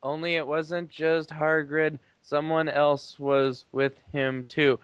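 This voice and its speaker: A man's voice: a little high, flat delivery, slow, clean tone, no echo. A man reading aloud for comedy and bantering with a sardonic edge, heard through a lo-fi mic. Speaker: player in a dramatic reading game. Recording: dull, lo-fi mic.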